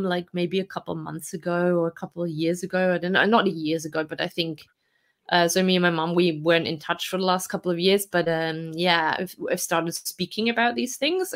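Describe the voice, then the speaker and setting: A woman in her forties from Austria, a podcast guest telling her story candidly, reflective and laughing softly between hard memories. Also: fairly low pitch, brisk.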